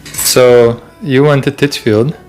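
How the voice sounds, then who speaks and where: A man's voice: mid-range voice, steady tone, takes it slowly, darkish tone, rough recording. A man casually interviewing his wife at home, prompting her with questions and teasing her along the way. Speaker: interviewer, husband. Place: home kitchen.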